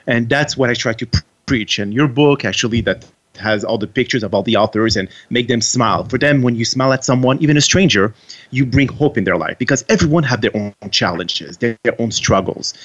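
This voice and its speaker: A man's voice: medium pitch, brisk. Native French-speaking man with a Quebec accent, earnest interview guest, muffled lo-fi sound. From Quebec, Canada. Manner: earnest, with frequent restarts. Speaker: guest author. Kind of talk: interview answers.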